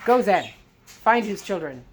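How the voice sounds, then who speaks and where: A woman's voice: mid-range voice, lively intonation, measured pace, close to an everyday voice. A woman voicing a haughty, selfish male president in a storybook, pompous and impatient. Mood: cold anger. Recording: clear background noise.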